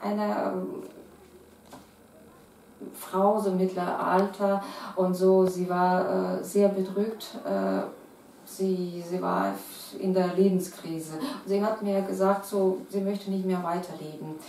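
A woman's voice: medium pitch, little pitch movement, slow pace, gravelly, mellow, slight background noise. A middle-aged woman from Kazakhstan, Russian-accented German with many fillers and restarts, speaking as a poet in an interview at home. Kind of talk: interview answers. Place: living room with piano, indoors. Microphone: far camera. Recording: echoey room from a distance.

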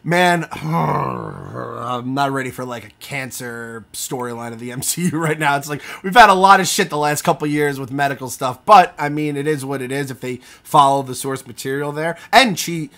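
A man's voice: medium pitch, normal speed, creaky, fairly bright tone. A middle-aged man co-hosting a podcast over a video call, joking around with heavy swearing. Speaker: podcast co-host. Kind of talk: casual podcast chat.

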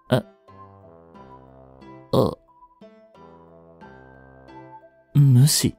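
A man's voice: a little high, warm tone, exaggerated voice. A young man playing a stern, overprotective butler who speaks formally and earnestly, often sighing. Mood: flustered. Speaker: voice actor. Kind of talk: Japanese voice drama acting.

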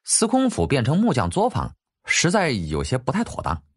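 A man's voice: mid-range voice, wildly swooping pitch, normal speed, slightly rough. A man voicing a shrewd, playful young nobleman, courteous and salesmanlike, in an audiobook. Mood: relieved, coaxing.

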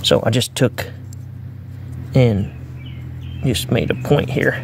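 Folksy man with a gravelly voice. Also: mid-range voice, takes it slowly. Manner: rambling, folksy, talks while working with his hands. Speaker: bushcraft video maker. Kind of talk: casual outdoor demonstration. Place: outdoors on the ground near a road. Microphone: phone camera held close. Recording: phone recording outdoors with faint traffic noise. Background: faint road and outdoor noise.

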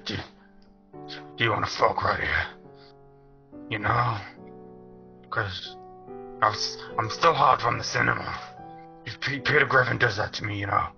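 A man voicing a crude, dim-witted, lecherous teenage schoolboy in an improvised anime parody dub, slangy and halting. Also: on the low side, creaky, bright, forceful tone, echoey room, full character voice. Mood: crude, rambling. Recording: dull lo-fi audio, music behind.

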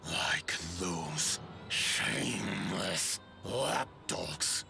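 A man voicing a huge, proud, hostile giant warrior in a video game, gravelly and disdainful, with slow, deliberate delivery. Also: deep voice, expressive, music in the background, exaggerated voice. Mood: menacing, proud. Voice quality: gravelly, growling.

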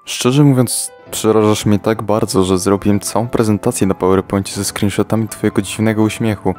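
A man voicing a weary, sarcastic teenage boy fighter in a comedy fan dub, talking deadpan. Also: fairly low pitch, brisk, close to an everyday voice. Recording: soft music underneath.

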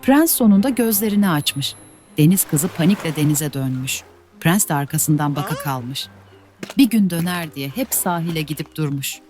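A woman narrating classic fairy tales for children in a soft, measured way. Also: low voice, animated, soft background music. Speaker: storyteller. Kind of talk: scripted fairy-tale narration.

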